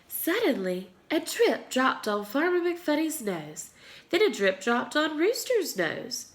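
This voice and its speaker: A woman reading a children's storybook aloud in an expressive way, as a teacher does for young children. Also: high voice.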